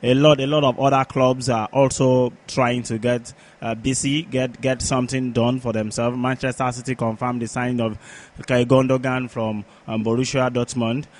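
Man, sports co-host, mid-range voice, halting delivery, repeated words, news round-up. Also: medium pitch, flat delivery.

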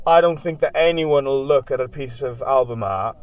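Rambling young Welsh musician. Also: male voice, mid-range voice, normal speed, phone-quality sound. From Wales. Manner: rambling, many "like" fillers, animated storytelling. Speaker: band member, interviewee. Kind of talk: casual interview chat.

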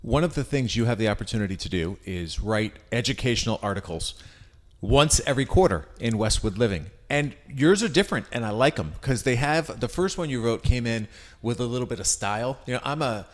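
A man's voice: medium pitch, expressive, brisk. A middle-aged American man hosts a casual interview in a mid-range voice. He is chatty and informal, setting up his questions with personal asides and compliments to his guest. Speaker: interviewer, host.

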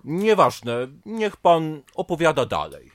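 A man plays a ponderous therapist in a radio comedy. He speaks at a mid pitch and very slowly, dropping dry, musing asides with animated intonation, in a close dry sound. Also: no echo, heavily acted. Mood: brisk, evasive. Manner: musing, drawn-out, deadpan asides. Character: dryly sardonic, ponderous psychotherapist. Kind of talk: radio comedy drama acting.

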